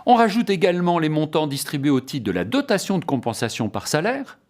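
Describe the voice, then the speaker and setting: A man's voice: fairly high pitch, very animated, brisk, darkish tone. A man presenting a formal, scripted explanatory lecture in a didactic, carefully structured way.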